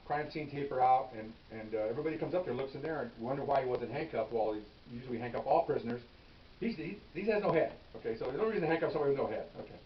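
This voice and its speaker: Older ex-cop telling war stories quick, loud when he acts it out, roomy far-off mic with hiss. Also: medium pitch. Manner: animated, acts out his own yelling. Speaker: retired police officer telling stories. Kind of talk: casual storytelling to camera. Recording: roomy, distant, dull with hiss.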